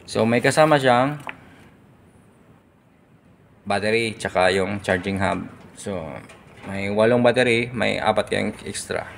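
A man in his thirties with a Filipino accent, giving a casual product review in short, halting bursts as he searches for words. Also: on the low side, vocal fry, a little hiss or hum. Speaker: vlogger reviewing gear. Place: large tiled indoor hall. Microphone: phone at arm's length.

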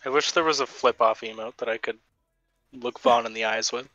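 A man's voice: medium pitch, fairly even intonation, brisk, bright, forceful tone, dry recording, highs cut off, soft background music. A man chatting bluntly and offhand with friends during an online game. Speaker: gaming friend. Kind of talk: casual gaming chat.

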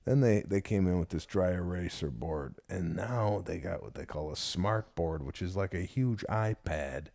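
Deep gravelly man telling a story. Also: normal speed, few pauses, dry recording. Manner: animated, rises in pitch when amazed. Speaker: podcast co-host. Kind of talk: casual storytelling chat.

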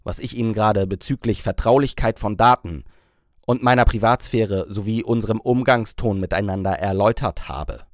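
A man's voice: lowish voice, dry recording, studio quality, close to an everyday voice. Man reading as a stern, haughty career woman. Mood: coolly insistent. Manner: stern, condescending, measured. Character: young confident career woman, cutting, commanding, haughty.

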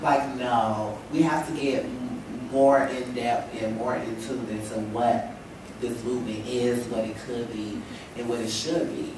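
A woman's voice: rumbling low, fairly even intonation, unhurried, background noise. A young woman with a stuffy cold, speaking emphatically on a panel in a lecture hall, at a table microphone. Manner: emphatic, rhetorical, lots of "you know". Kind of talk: impassioned panel talk. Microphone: table stand mic. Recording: echoey hall, mic from far off.

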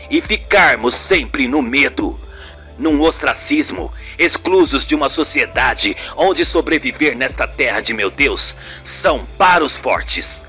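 A performer voicing a supportive, authoritative male TV station boss, measured and reassuring, in a radio soap. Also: mid-range voice, pushed and bright, voice pushed down, heavily acted.

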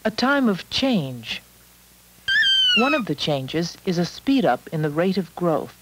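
A woman reading scripted narration for an educational film in a measured, instructional manner. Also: lowish voice, sing-song, very noisy.

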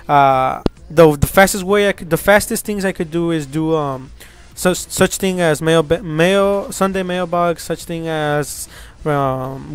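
A young man from Mexico talks casually over gameplay, rambling and earnest, full of fillers and restarts. His voice is fairly high for a man and comes through smooth and clear. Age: young adult. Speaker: gaming channel host.

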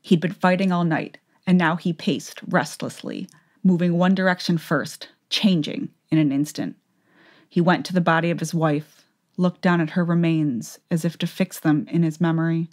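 A woman narrates a fantasy story as in a dramatic audiobook. Her voice is pitched low. The delivery is scripted storytelling. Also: steady tone, moderate pace, darkish tone.

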